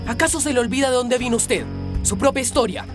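A man's voice: very high voice, quick pace. A young man acting a nervous, stammering hostel helper in a radio soap opera. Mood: flustered, defensive. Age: young adult. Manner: hesitant, stammering. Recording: music bed behind radio drama voices.

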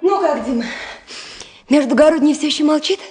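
A woman playing a gentle, attentive middle-aged wife and mother in a radio drama, giving short, reassuring replies. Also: high-pitched, fairly quick, a little hiss or hum, close to an everyday voice. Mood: concerned. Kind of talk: Soviet radio drama acting.

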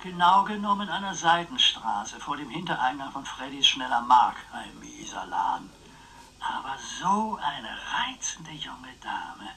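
An actor playing a roguish, chatty older uncle in a radio crime drama, teasing, with florid relish. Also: male voice, mid-range voice, very animated, a little slow, vocal fry, pushed and bright, slightly rough, heavily acted. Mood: rapturous, roguish. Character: roguish, chatty old uncle, womanising bon vivant.

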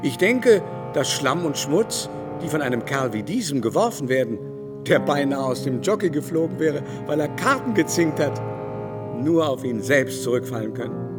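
A man's voice: fairly high pitch, big pitch swings, normal speed, music in the background. An actor playing an aging, haughty male aristocrat, indignant and gossipy, declaiming theatrically in a literary radio drama. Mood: scandalized. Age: older. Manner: theatrical, declamatory, long-winded lamenting. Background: musical score.

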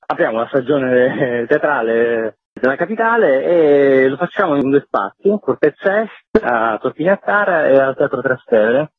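A man's voice: mid-range voice, animated, moderate pace, few pauses, husky. A man giving explanatory answers as an interview guest, a festival's artistic director. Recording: tinny phone-like line, roomy echo.

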